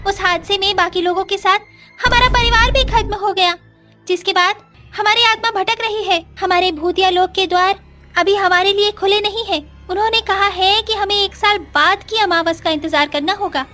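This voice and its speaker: A woman's voice: very high voice, fairly even intonation, moderate pace, bright, forceful tone. A woman voicing a young, earnest ghost nomad girl in a dramatised children's story. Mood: pleading, earnest. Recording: music under the voice. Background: music.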